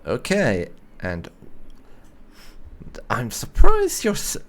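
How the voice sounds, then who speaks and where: A man's voice: medium pitch, wildly swooping pitch, extremely slow. A man role-playing aloud as he plays a video game, improvising dry, sceptical replies with muttered hums. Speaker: gaming channel player role-playing aloud. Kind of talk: improvised in-character game roleplay commentary.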